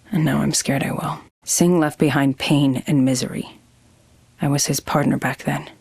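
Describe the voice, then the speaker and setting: A low-voiced, brooding Wraith. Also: female voice, darkish tone, dry recording, studio quality. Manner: brooding, terse. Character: haunted, skeptical woman fighter.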